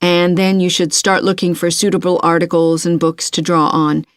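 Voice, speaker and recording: Woman playing a low-voiced, helpful university tutor. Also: little pitch movement, normal speed, clean tone, dry recording, studio quality, lightly acted. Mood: helpful, advising. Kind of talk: scripted listening-test dialogue.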